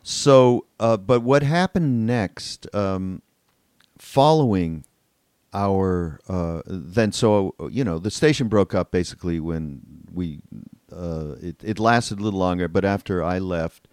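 An older man hosting a casual podcast interview, speaking in a halting, rambling way. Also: deep voice, darkish tone, dry recording, clean studio sound. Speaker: podcast co-host.

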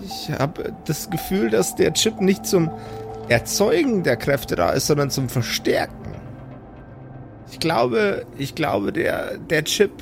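A man plays a thoughtful woman doctor in an improvised audio drama. The speech is hesitant and pondering, with lively swings in pitch over clear background music. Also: slow, full character voice. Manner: hesitant, pondering, repeats phrases. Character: thoughtful, curious female doctor tinkering with tech.

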